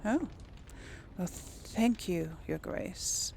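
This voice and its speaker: Slow-paced woman with creaky voice. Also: on the low side, expressive, a little mellow, slight background noise. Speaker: gaming video host. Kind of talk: casual role-play game commentary.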